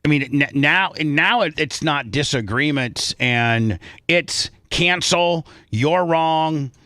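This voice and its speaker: A man's voice: a little high, slow pace, sharp tone, no echo, studio quality. A man hosting a talk show in casual radio style, opinionated and repeating his points for emphasis.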